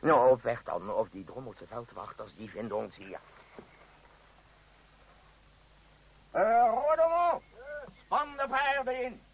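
An actor playing a pompous, middle-aged leader of a travelling theatre troupe in a radio play, stammering and humming in a self-important, fussy way. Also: male voice, medium pitch, expressive, normal speed, creaky, slightly rough. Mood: commanding. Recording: dull old radio drama recording.

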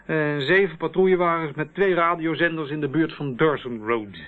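A man plays a sceptical, harried police officer with a fairly high male voice. The Dutch radio drama has the thin, telephone-like sound of an old broadcast. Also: moderate pace, natural voice. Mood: agitated, sarcastic. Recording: tinny, band-limited old radio play.

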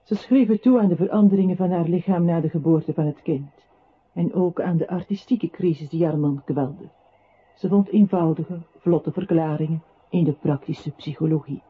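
An actor playing a narrator who comments on a composer's life in a literary radio play. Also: male voice, on the high side, moderate pace, breathy edge. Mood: pointed. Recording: muffled old radio recording.

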